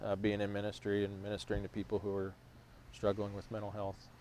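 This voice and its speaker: A man in his thirties who works at a rescue mission, speaking reflectively and in a measured way during a casual talk outdoors. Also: fairly low pitch, monotone, darkish tone. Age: 30s. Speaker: rescue mission staff member. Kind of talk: casual conversation on a livestream. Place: outdoors on a bench.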